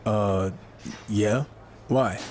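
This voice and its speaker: An actor playing a self-assured, understated young rookie wrestler in a video game cutscene. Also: male voice, on the low side, extremely slow, a little mellow, a little hiss or hum.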